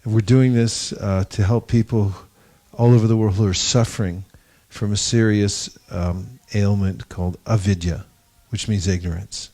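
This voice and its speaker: Low-voiced man leading a scripture class. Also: fairly even intonation, measured pace, hardly any pauses, warm tone. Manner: anecdotal, wry humor. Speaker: discussion leader. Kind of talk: devotional class discussion. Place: gathering in India.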